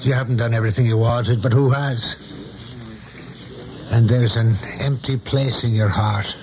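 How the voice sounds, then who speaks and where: A man's voice: medium pitch, fairly even intonation, measured pace, background noise, lightly acted. Man playing a folksy old barkeep, dull radio sound. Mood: frank, reassuring. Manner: folksy, colloquial, philosophical. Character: older male saloon keeper, folksy, shrewd, loyal friend. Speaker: actor in a radio play. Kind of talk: 1970s radio drama acting. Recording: dull vintage radio broadcast, music behind.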